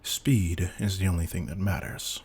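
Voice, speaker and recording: Gravelly, very deep man acting as veteran vampire hunter. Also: fairly even intonation, a little mellow, slight background noise. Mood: calm, commanding. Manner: low, deliberate, commanding, often dropping to breathy murmurs. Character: veteran male vampire hunter, intense, commanding, secretly predatory. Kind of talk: slow-burn roleplay audio drama addressed to the listener.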